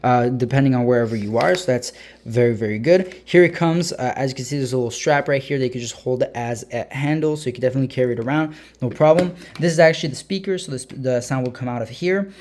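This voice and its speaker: A man giving a casual hands-on product review as a video host, explaining informally with frequent hesitant uh pauses. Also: mid-range voice, darkish tone, slight background noise.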